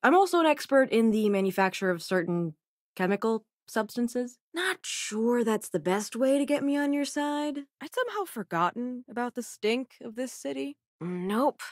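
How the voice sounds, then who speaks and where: A woman's voice: a little high, lively intonation, moderate pace, no room sound, clean studio sound, natural voice. A woman voicing a sardonic, self-assured young female crewmate and researcher in a video game, with a casual, wry delivery.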